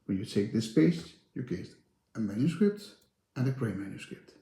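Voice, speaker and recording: A man presents the rules of a board game step by step. His voice is soft-edged and mellow, with very varied intonation. The recording has a clear room echo. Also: medium pitch, animated, normal speed. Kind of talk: instructional narration, explaining game rules. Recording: roomy, echoey sound.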